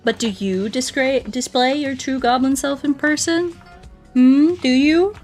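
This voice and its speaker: A woman's voice: sits high, a little slow, a little mellow, soft background music. Playful woman chatting on a gaming livestream. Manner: playful, rambling, answers viewers aloud. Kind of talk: casual gaming livestream chat.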